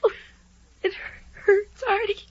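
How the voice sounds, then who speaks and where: An actress playing a wounded mistress who pleads weakly and cries in pain in a radio drama. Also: female voice, very high voice, flat delivery, takes it slowly. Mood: agonized pleading. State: crying in pain. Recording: muffled vintage radio recording.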